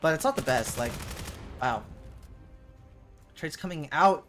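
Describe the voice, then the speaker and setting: An eighteen-year-old man casting a competitive VR shooter match alone, rambling with lots of fillers between rounds and jumping loud and high when plays happen. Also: expressive, a little slow. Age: young adult. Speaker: esports caster. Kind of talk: solo play-by-play game commentary with viewer chat. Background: game music.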